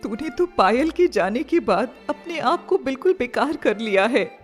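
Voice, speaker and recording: A woman's voice: on the high side, animated, moderate pace, faint music behind, close to an everyday voice. A woman voicing a caring, worried elderly mother who pleads and coaxes emotionally in a dramatised story. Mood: worried pleading. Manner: emotional, coaxing. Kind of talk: dramatised Hindi moral story acting.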